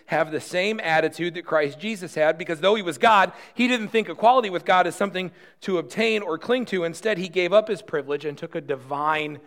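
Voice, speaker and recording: Male pastor, early 40s, slightly higher voice, chatty and earnest sermon delivery, restarts and asides. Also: fairly bright tone. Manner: conversational and earnest, with rhetorical questions and self-deprecating asides; repeats words and restarts often.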